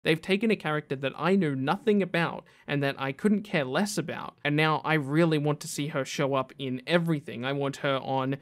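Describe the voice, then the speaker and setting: Male gaming video host, slightly high voice, conversational, opinionated, casual list commentary. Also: fairly quick, few pauses.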